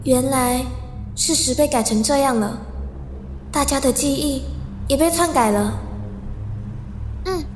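Worried young student girl, high voice, hesitant, radio drama w soft music under. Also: measured pace, long pauses. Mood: confused, hesitant. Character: anxious, guilt-ridden young student daughter.

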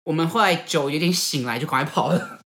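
A man co-hosting a casual chat show, telling stories quickly and laughing often. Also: fairly high pitch, bright, forceful tone. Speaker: podcast co-host.

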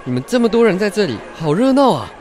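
A man's voice: high-pitched, big pitch swings, fast, darkish tone. An actor playing an eager, friendly village man and disciple in a theatrical radio Bible drama. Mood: eager, insistent.

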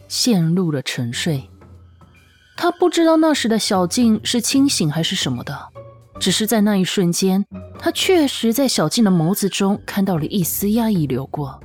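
一位女性有声小说旁白，照着剧本富有表情地讲述故事。. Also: female voice, mid-range voice, a little mellow. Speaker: audiobook narrator. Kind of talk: expressive scripted story narration. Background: background music.

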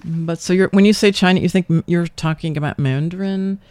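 A mature woman hosting a casual interview, thinking aloud in halting questions that often trail off. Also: on the low side, a little mellow, no echo. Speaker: radio interview host.

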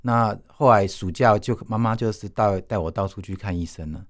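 Low-voiced Taiwanese man, hesitant storyteller. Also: brisk. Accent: Taiwanese Mandarin. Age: 40s. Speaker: interview guest, itinerant teacher. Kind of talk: casual personal storytelling in an interview.

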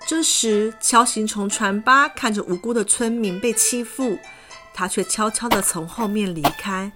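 A woman narrating a children's story in a gentle, expressive manner. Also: mid-range voice, unhurried. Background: background music.

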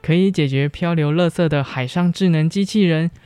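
A woman's voice: sits low, moderate pace, loud music behind the voice. A young woman with a Taiwanese Mandarin accent, presenting scripted radio links as a student host. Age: young adult.

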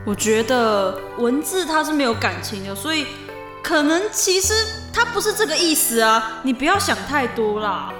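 Young woman as a high-pitched cheerful Taiwanese schoolgirl. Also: lively intonation, normal speed, a bit bright. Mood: concerned, soothing. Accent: Taiwanese Mandarin. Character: cheerful, teasing, caring teenage schoolgirl best friend. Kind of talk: amateur audio drama acting. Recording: roomy echo under music. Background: music.

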